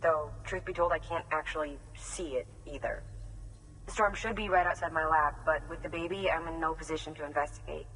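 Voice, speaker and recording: An actor playing a calm, soft-spoken male scientist in a video game, reporting in a polite, precise and careful way. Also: fairly high pitch, brisk, long reverb tail, rough recording. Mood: calm, concerned.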